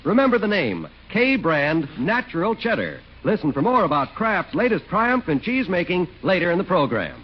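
Animated vintage male radio announcer. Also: high-pitched, normal speed, hardly any pauses, slightly rough. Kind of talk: 1940s radio advertising and narration, scripted. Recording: dull 1940s radio broadcast with music. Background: music.

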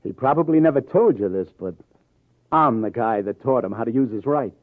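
A hard-boiled New detective, played by a man in an old radio crime drama. He talks in a clipped, sardonic tough-guy way at a medium pitch. The sound is tinny and close, like a dry vintage broadcast. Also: expressive, brisk, no room sound, lightly acted. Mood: sardonic, accusing. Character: hard-boiled, wry New York male police detective.

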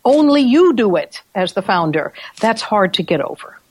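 A woman hosts a business podcast, talking through ideas in a relaxed, explanatory way. She often ends her points with little tag questions. Her voice is close and dry. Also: mid-range voice, moderate pace, husky, dry recording, poor audio. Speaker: podcast host. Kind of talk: conversational business talk.

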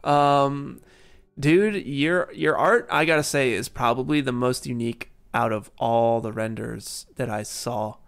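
A man's voice: medium pitch, measured pace, clean tone. A young man hosting a live online interview, casual and enthusiastic, with laid-back slang. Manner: upbeat, gushing praise, laid-back slang. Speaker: livestream host.

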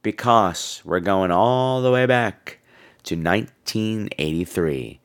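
A man hosting an old-time radio podcast, introducing an episode in a playful, relaxed way. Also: on the low side, slow, clear, resonant tone. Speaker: podcast host. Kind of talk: casual show intro.

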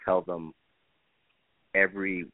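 A man's voice: sits low, little pitch movement, takes it slowly, dry recording, phone-quality sound. A man speaking as a director in an interview, casual and earnest, often repeating phrases for emphasis. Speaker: stage play director being interviewed.

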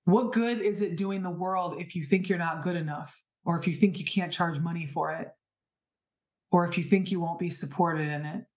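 A woman's voice: lowish voice, normal speed, a little mellow. A woman in her thirties hosting a podcast, giving an earnest, emphatic spiritual talk full of rhetorical questions. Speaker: podcast host. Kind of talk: inspirational spiritual monologue.

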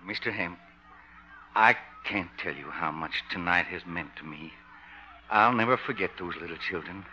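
An actor playing a humble, tender-hearted man who plays Santa, speaking in a halting, heartfelt way in 1950s radio style. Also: deep voice, bright, forceful tone, lo-fi sound. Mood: moved, grateful. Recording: vintage 1950 radio broadcast.

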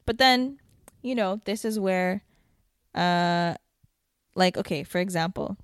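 Young woman, casual co-host, lowish voice, rambling and chatty, dry close recording. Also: takes it slowly, smooth, dry recording. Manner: chatty, lots of "like", trails off mid-thought. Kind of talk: casual chat.

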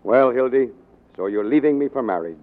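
A man's voice: mid-range voice, animated, soft background music, natural voice. Man acting a scheming newspaper boss, old tinny radio. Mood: mock sympathy. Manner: sarcastic, bossy, fast-switching from barking to wheedling. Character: tyrannical, resourceful, scheming male newspaper managing editor. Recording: muffled narrow-band 1940s radio recording.

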